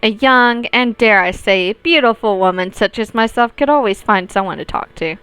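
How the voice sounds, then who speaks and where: Woman, very smooth clean voice, close dry sound, visual novel read-aloud. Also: mid-range voice, moderate pace, fairly bright tone, no echo. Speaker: gaming video host reading aloud. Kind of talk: visual novel let's play, reading narration and dialogue aloud.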